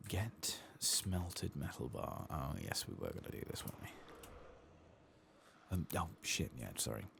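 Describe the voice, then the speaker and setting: A soft-spoken man doing an ASMR game playthrough, hushed and sometimes whispering, musing in a reflective, hesitant way as he plays, close to the microphone. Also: low-pitched, big pitch swings, a little slow, vocal fry, background noise. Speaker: ASMR gaming video host.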